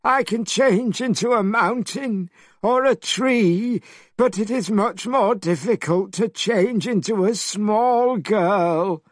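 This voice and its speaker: A man's voice: high voice, slow, no echo, studio quality, pitched above the performer's own voice. A man voicing a foolish, cowardly pig creature who whines and complains, in a storybook reading. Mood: whiny. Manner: whiny, complaining.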